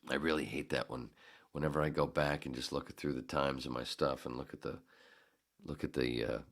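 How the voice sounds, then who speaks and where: A man's voice: very deep voice, fairly even intonation, brisk. A man hosting a solo podcast, talking in a rambling, profane, self-deprecating way. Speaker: podcast host. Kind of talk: casual solo podcast monologue.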